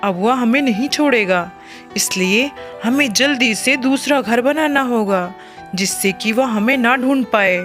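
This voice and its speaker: A motherly, shrewd bird from a cartoon, voiced by a woman. She speaks slowly and earnestly in a fairly high voice. Light music plays behind her. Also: a bit bright. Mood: urgent, cautioning. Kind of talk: Hindi cartoon character acting.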